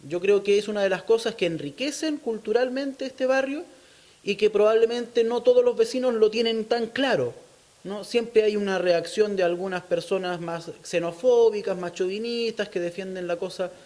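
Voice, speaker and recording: A man's voice: high-pitched, moderate pace, darkish tone. A man with a Chilean accent answering as an interviewee, explaining his opinions thoughtfully and often checking in with short tag questions. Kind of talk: thoughtful interview talk on local culture.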